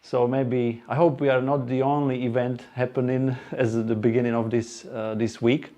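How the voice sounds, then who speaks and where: A man with a Slovak accent giving an informal, chatty talk to a live group, halting now and then for asides. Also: mid-range voice, level tone, mellow, dull audio. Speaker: presenter at an electric car group event. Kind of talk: live presentation to a small audience.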